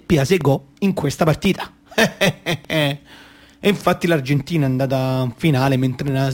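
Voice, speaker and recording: A man's voice: a little high, natural voice. An actor with a Roman accent playing a naive, football-mad little boy who rambles and repeats himself in a colloquial radio theatre monologue. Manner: rambling, repeats phrases and quotes his dad.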